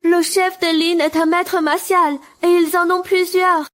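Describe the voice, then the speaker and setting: A woman playing a wounded, fearful young sister in a dubbed animated martial-arts drama. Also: very high voice, unhurried. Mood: worried.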